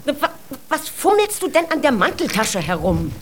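An actress playing a poor ragpicker's practical, sardonic, scolding wife in a children's radio play, dry and reproachful. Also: female voice, high voice, very animated, normal speed, background noise.

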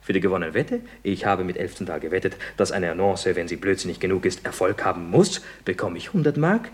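Young male playwright, radio comedy, swooping intonation, slightly husky, ironic, mock-courteous. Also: deep voice, animated, a little fast. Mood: brisk, theatrical. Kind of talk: German radio comedy acting.

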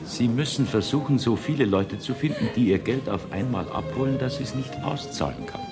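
An actor playing a stolid, officious police president in a radio play, lecturing and unhelpful. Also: male voice, medium pitch, animated, fairly quick, creaky. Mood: officious, unmoved. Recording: 1970s radio play, loud music behind.

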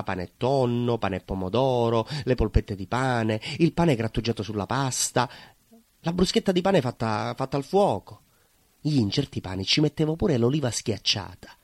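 An actor playing a proud, nostalgic neighbourhood baker with a southern Italian Calabrian accent, in a colloquial, confiding theatre monologue. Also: male voice, on the low side, dry recording. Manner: colloquial, confiding, with rhetorical asides. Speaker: stage actor performing a monologue.

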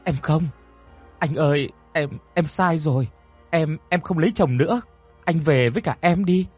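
A man's voice: mid-range voice, unhurried, dark tone, husky, no room sound, soft background music. A man voicing a frightened young woman who pleads and stammers in a horror audio story. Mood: pleading. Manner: pleading, stammering, repeating words. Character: frightened pleading young woman haunted by her dead lover.

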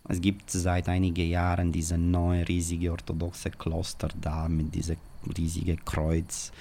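A man's voice: deep voice, completely flat delivery, measured pace, creaky, dark tone. A man talking casually and spontaneously, hesitant as he searches for his words.

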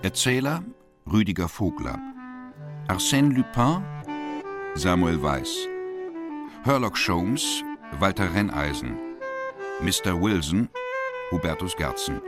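Deep, slow male radio announcer. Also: very animated, over music. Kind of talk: scripted credits announcement.

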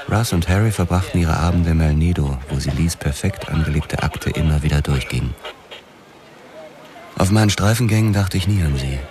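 An actor playing a haunted, hard-boiled 1940s police officer who narrates a crime radio drama. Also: male voice, very deep voice. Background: prominent music.

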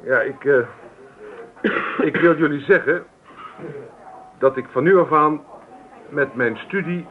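An actor playing a stubborn young student son in a radio drama, halting and formal yet determined. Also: male voice, on the high side, slight background noise. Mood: nervous, determined. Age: young adult. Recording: tinny old radio recording.

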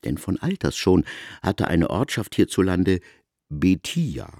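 A man playing an erudite narrator in a literary radio drama, speaking eloquently with deliberate phrasing. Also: sits low, big pitch swings, dark tone, dry recording, clean studio sound, exaggerated voice. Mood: erudite, expressive. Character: erudite male narrator of a biblical epic.

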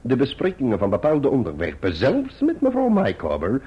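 An actor playing a pompous, genteel middle-aged clerk in a radio drama, florid and wordy with deliberate pauses. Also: male voice, medium pitch, wildly swooping pitch, husky. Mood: guarded, dignified. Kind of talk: theatrical radio drama acting. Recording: muffled, dull old radio drama recording.

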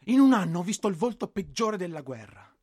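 High, swooping, frightened soldier. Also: male voice, very animated, brisk, a little mellow, breathy edge, exaggerated voice. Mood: bitter, indignant. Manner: emotional, dramatic delivery. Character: frightened, exhausted, bitter Italian infantry soldier in the trenches. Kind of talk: theatrical radio drama acting.

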